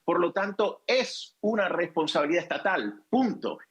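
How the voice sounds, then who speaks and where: Higher-pitched Puerto Rican man, political analyst. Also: sing-song, moderate pace. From Puerto Rico. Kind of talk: opinionated panel commentary.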